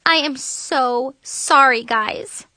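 A woman's voice: on the high side, lively intonation, normal speed, bright, forceful tone, no room sound. A woman voicing an earnest, pleading, self-blaming teenage girl in an audiobook. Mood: guilty, apologetic. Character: apologetic, emotional teenage girl narrator-heroine, self-blaming.

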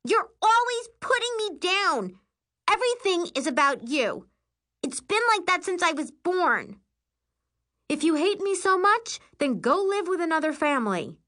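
A woman voicing an indignant, bossy twelve-year-old girl in a shrill, snapping voice for an audiobook. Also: lively intonation, slow pace, sharp tone, exaggerated voice. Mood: furious.

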